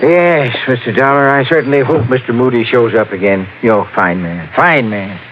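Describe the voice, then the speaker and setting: A man plays a chatty small-town storekeeper in a radio play. He is neighborly and rambles off the point in a middle-pitched male voice. The sound is thin and phone-like, with faint noise. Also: moderate pace, natural voice. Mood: friendly, neighborly. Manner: rambling, amiable, easily sidetracked. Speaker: supporting actor. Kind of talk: old-time radio drama acting.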